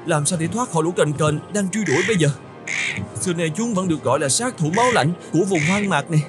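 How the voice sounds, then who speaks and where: A man's voice: a little high, expressive, fast, music in the background, lightly acted. A man voicing an anxious young male cartoon camel in a whiny, exclamatory, melodramatic way. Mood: pained, desperate. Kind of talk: cartoon voice acting.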